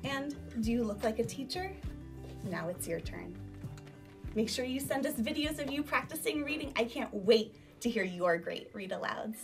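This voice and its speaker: High-pitched woman teacher, distant mic over music. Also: normal speed. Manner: emphatic, animated teacher delivery with rhetorical questions. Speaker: elementary school teacher. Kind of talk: instructional talk to children. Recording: distant microphone, music behind.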